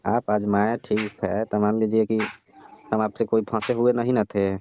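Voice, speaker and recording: A man's voice: fairly low pitch, level tone, normal speed, noisy background, close to an everyday voice. A man acting a cocky young married son-in-law in a staged comic phone call, asking blunt, needling questions. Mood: probing. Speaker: comedy call actor. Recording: dull lo-fi phone call recording.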